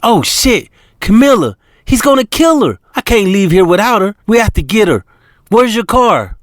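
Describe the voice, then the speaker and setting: A man voicing a brash young woman who talks loud and street-tough, in an audiobook-style story. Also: sits high, very animated, no echo, clean studio sound, voice pushed up. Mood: excited. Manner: loud, fast, indignant.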